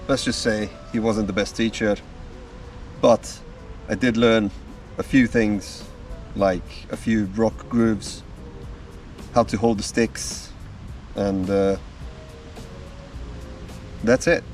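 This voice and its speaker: A man, talking outdoors in the woods as a drumming vlogger, easygoing and unhurried. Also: lowish voice, fairly even intonation. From Slovakia. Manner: slow, easygoing storytelling with small pauses. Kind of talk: casual self-introduction to camera. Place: outdoors in woodland. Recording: distant mic with faint music behind.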